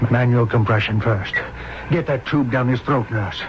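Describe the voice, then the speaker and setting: An actor playing a determined, commanding emergency room doctor in a terse manner for a 1970s radio drama. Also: male voice, mid-range voice, normal speed, noisy background, close to an everyday voice. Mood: urgent, focused. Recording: dull vintage radio broadcast.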